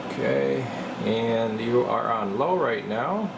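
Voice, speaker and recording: A man's voice: mid-range voice, slow. A man giving a casual, folksy, slangy repair walkthrough as a radio technician. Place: indoor radio repair workshop. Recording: steady clear background noise.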